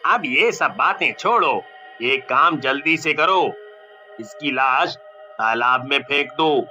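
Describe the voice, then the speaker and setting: Casting: sleazy, jumpy young village crony, acted by a man, piercingly bright voice, unhurried, for a cartoon. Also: medium pitch, animated, faint music behind, full character voice. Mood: panicked. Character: sleazy young village sidekick, scheming yet easily panicked. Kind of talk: Hindi cartoon story voice acting.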